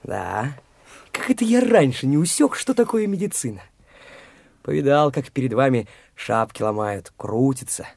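Cocky, pushy young man for a radio drama; animated, close dry mic. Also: medium pitch, moderate pace, gravelly, no room sound. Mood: blunt. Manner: cocky, taunting, blunt. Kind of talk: studio radio play acting.

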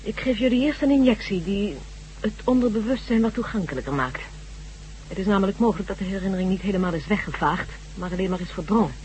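A woman's voice: medium pitch, animated, fast talker, darkish tone, a little hiss or hum, lightly acted, rough recording. An actress playing an earnest woman scientist in a radio drama, speaking in an urgent, persuasive and polite way. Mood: composed, insistent. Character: earnest, insistent woman scientist who treats friends.

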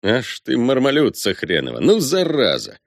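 Man as a coarse, jeering invisible stranger, animated. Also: lowish voice, a little slow, dry recording, clean studio sound, voice pushed up. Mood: irritated. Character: gruff, mocking, foul-mouthed invisible stranger.

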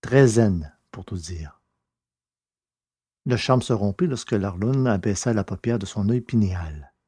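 A man narrating a scripted story in a measured, literary reading style. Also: lowish voice, expressive, dark tone, breathy edge, no echo, clean studio sound.

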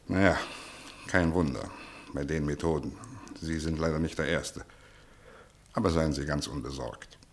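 A man acting an urbane, fatherly professor of medicine in a radio play, deliberate and reassuring, with pointed pauses. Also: a bass voice, fairly even intonation. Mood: reassuring, brisk.

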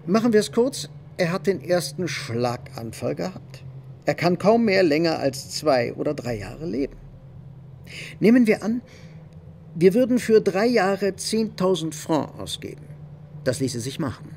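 An actor in a radio play playing a practical, wealthy bourgeois husband, deliberating and insistent. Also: male voice, sits high, very animated, measured pace, gravelly, darkish tone, slight background noise, full character voice. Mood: earnest, deliberating. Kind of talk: German radio play dialogue.

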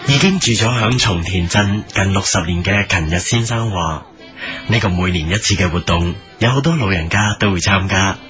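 A man playing a solemn documentary narrator, reading a scripted mock travel feature in a measured, earnest way. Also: low voice, close to an everyday voice. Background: prominent music.

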